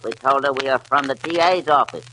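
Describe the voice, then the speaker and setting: An actor playing a gruff, menacing gangster boss with crude, slangy talk in a 1940s radio drama. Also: male voice, mid-range voice, fairly quick, lightly acted. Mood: gruff, scornful. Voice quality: tough, slangy, ungrammatical talk. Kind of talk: 1940s radio crime acting. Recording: noisy old 1940s radio recording with clicks.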